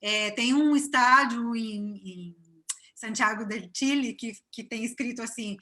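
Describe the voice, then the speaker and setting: A woman in her forties from Brazil with a Cachoeira accent, speaking in a bright, somewhat high voice over a video call. Her talk is warm and chatty, full of fillers and restarts. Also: measured pace. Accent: Brazilian Portuguese, from Cachoeira (Bahia). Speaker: interview guest. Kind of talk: casual interview chat.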